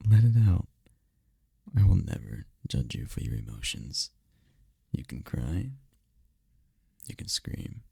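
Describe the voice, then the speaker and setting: Man voicing a deep, gravelly incubus boyfriend. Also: big pitch swings, no echo, studio quality, exaggerated voice. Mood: tender, soothing. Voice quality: breathy, gravelly low voice. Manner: soft-spoken, unhurried, breathy, soothing with playful teasing. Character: tender, teasing male incubus demon boyfriend. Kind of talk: intimate ASMR roleplay, comforting.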